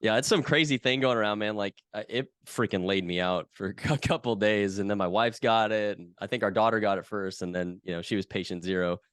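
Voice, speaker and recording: A man in his thirties, a podcast guest from the US West Coast, talking in a relaxed, chatty way with many fillers. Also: on the low side, brisk, few pauses, smooth, no room sound, studio quality. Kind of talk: casual conversation.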